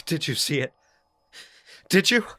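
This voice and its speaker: An actor playing a haunted, obsessive man who narrates his supernatural terror in an intense, halting audio drama delivery. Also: a little high, big pitch swings, heavily acted. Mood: shaken. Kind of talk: audio drama first-person horror narration. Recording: loud music under the voice. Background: dramatic music.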